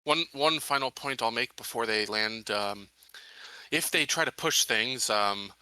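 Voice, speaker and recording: A man playing a tabletop game, deliberating aloud in measured, somewhat formal, halting sentences. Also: mid-range voice, sharp tone, clear, resonant tone, no echo, poor audio. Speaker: player in a tabletop roleplaying game.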